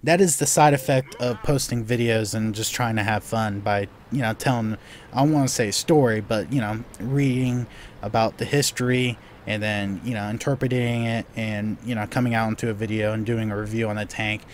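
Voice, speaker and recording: A man's voice: on the low side, steady tone, a little fast, a little hiss or hum. A young man, Korean by birth, hosting a live gameplay Q&A and answering viewer questions in a rambling way, with lots of restarts. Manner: rambling, many "you know"s and restarts. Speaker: gaming channel host.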